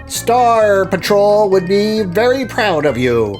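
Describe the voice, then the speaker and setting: A man's voice: sits high, very animated, slow pace, faint music behind, pitched above the performer's own voice, heavily acted. A man voicing a pompous, officious cartoon space-captain chicken with a commanding manner.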